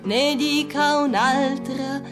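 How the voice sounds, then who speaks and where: High-voiced woman singing a slow folk ballad. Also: steady tone. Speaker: singer and narrator in a stage show. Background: live guitar accompaniment.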